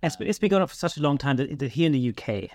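Male podcast host, fairly high register, very animated intonation, dry studio-close sound. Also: very fast, no room sound. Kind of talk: scripted introduction and casual interview.

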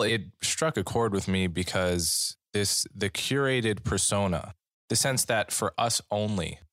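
Male podcast host, very low voice, dry close sound, deliberate. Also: a bit bright, no echo. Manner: measured, deliberate, reads aloud slowly. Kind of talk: interview questions.

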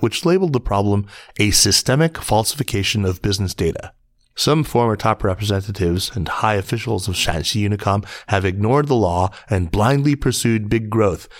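Guy with a lowish voice reading biz news for a podcast, measured and formal, super dry sound, no room. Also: dry recording. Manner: measured, formal newsreader delivery. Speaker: podcast host and news reader. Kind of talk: scripted news narration, reading articles aloud.

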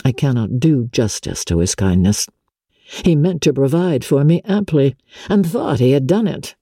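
Woman as a mellow, wounded young gentleman officer. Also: rumbling low, lively intonation, breathy edge, no echo, clean studio sound, exaggerated voice. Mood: aggrieved, confiding. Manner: measured, plaintive, confiding. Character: smooth-talking, wronged young gentleman officer, wounded and genteel.

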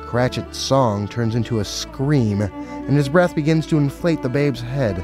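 A man's voice: medium pitch, measured pace. A man reading a scripted story as a narrator. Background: violin music.

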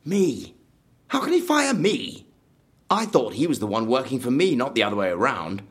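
An actor in an audio drama playing a vengeful, obsessive horror theme park designer, bitter and grandiose, with a husky voice. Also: male voice, medium pitch, very animated, moderate pace. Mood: outraged. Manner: brooding, bitter, grandiose, prone to outbursts.

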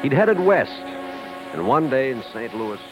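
A wry American showbiz man tells a backstage story with lively swings in pitch. The delivery is expressive 1950s radio drama, with music clearly behind it. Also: measured pace, rough recording. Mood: storytelling. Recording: old 1950s radio broadcast recording.

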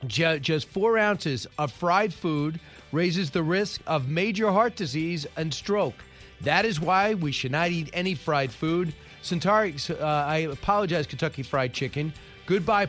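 A man hosting a radio talk show, rattling through the news with wry asides and quick opinions. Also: on the high side, fairly bright tone. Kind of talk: casual news-commentary monologue. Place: radio studio.

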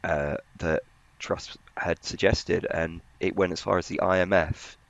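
A man's voice: low-pitched, little pitch movement, a little slow, creaky, no room sound. A man giving conversational market commentary as a journalist on a podcast, in slightly muffled audio. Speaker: financial journalist, podcast panellist.

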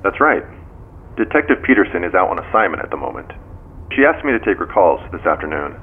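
A composed American police detective, a man who questions formally and carefully. His voice sits low but moves through lively inflections. It comes down a thin phone line in an audio drama. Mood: businesslike. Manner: measured, formal, probing. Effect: phone call. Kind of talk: modern audio drama dialogue. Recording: tinny phone line with background noise.

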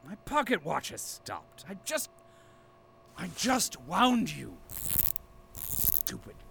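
An actor playing a cantankerous, pompous storyteller who grumbles and narrates in florid audio drama style. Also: male voice, on the high side, creaky, background noise, full character voice. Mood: outraged, irritable. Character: cantankerous, theatrical old male storyteller, easily outraged.

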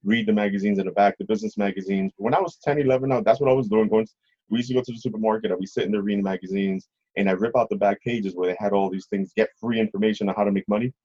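Middle-aged man, husky, low pitch, rambling casual storyteller, interview guest, slightly dull lo-fi sound. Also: rapid-fire, warm tone.

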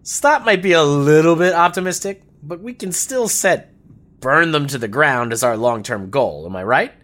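A garrulous old rebel in an audio drama. His talk is folksy and theatrical, with pitch that swings about freely as he schemes and holds forth. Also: animated, normal speed, a bit bright. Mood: rousing, eager. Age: older. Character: jovial old male revolutionary, garrulous and scheming.

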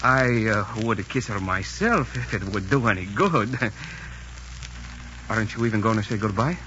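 An actor playing a soft-hearted Italian pirate crewman in a 1940s radio drama. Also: on the low side, unhurried. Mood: gentle, coaxing. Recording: muffled, hissy 1940s radio recording.

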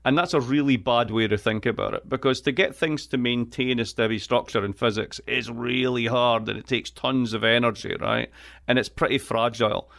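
A man's voice: medium pitch, a bit bright. Witty man with an east coast Scottish accent. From Scotland. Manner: witty, emphatic, restarts often. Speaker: guest economist. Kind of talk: animated interview conversation. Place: home music studio.

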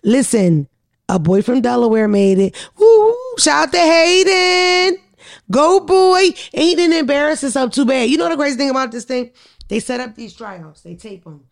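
A young woman with a deep voice, telling blunt, profane stories as a podcast co-host in casual chat. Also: lively intonation, normal speed, creaky.

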